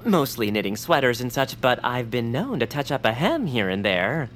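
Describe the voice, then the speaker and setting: A woman playing an excitable, earnest small male bird-like creature in a family audio comedy, eager and wordy, with gasps and exclamations. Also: very deep voice, moderate pace, slight background noise. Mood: eager, polite.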